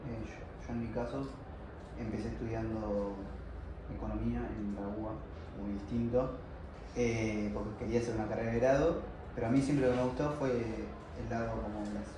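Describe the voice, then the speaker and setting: A young man with an Argentine accent speaks in a slightly low voice, searching for words and repeating them. The recording is very echoey and distant, with clear background noise. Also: fairly even intonation, normal speed. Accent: Argentine, Buenos Aires area. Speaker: focus group participant. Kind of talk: casual group discussion. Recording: echoey noisy room, far from mic.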